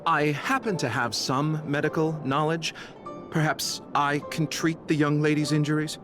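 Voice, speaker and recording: An actor playing a courteous, enigmatic gentleman healer in a video game, formal and gently amused. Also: fairly high pitch, moderate pace, lightly acted. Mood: courteous, reassuring. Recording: faint game music behind the voice.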